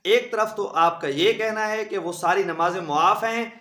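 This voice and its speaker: Male cleric, hoarse from a sore throat, indignant and emphatic, slightly high-pitched, roomy echo. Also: moderate pace, fairly bright tone. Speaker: Islamic cleric. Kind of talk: religious rebuttal speech. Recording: echoey room.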